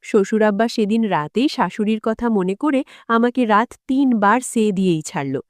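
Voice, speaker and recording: A woman's voice: medium pitch, moderate pace, no room sound, clean studio sound. A woman narrating a dramatic first-person story as a storyteller.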